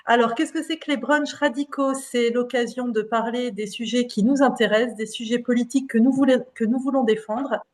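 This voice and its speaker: A woman hosting an online discussion over a video call, relaxed and using many filler sounds. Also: a little high, fairly quick, few pauses. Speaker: host.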